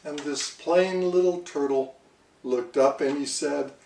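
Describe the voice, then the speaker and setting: An older man reading a children's story aloud in a dramatic, deliberate storyteller's manner. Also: a little high, vocal fry, darkish tone, roomy sound, recorded from a distance, a little hiss or hum.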